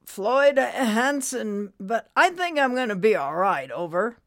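A woman voicing a gentle, folksy older male trucker who is ailing, in an audiobook story. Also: a little high, expressive, takes it slowly, voice pushed up. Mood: reassuring.

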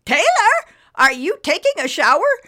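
A woman reading a storybook voices a stern, fussy mother who calls out and scolds loudly. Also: very high voice, sing-song, moderate pace, pitched above the performer's own voice, heavily acted. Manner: loud, scolding, bossy.

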